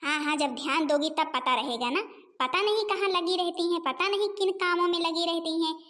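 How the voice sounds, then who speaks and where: A woman's voice: very high voice, fairly quick, fairly bright tone. A woman voicing a stern, domineering middle-aged mother-in-law in a family comedy cartoon, scolding and taunting. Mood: scolding, sarcastic. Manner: scolding, taunting, repeats phrases mockingly.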